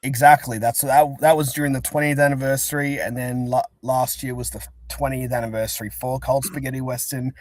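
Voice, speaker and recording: A man's voice: mid-range voice, flat delivery, brisk, hardly any pauses, no room sound. A man chatting casually as an interview guest, talking in a rambling, chatty way. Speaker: interview guest, fan-dub creator. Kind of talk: casual chat.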